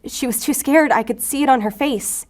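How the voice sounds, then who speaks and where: A woman's voice: sits high, moderate pace, close to an everyday voice. An actress playing a determined, risk-taking woman investigator in an audio drama, speaking with earnest urgency. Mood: tense, insistent. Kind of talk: audio fiction acting.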